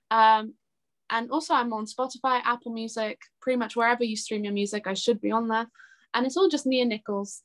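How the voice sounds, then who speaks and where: Young woman, kinda high voice, chatty and expressive, talking casually as an interview guest. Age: 20s. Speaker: interview guest, singer-songwriter.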